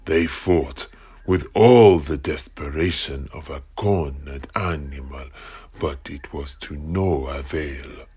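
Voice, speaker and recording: Man acting a very husky, gravelly low-voiced storyteller. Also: takes it slowly, warm tone, full character voice. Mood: grave, dramatic. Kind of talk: dramatic audio play narration.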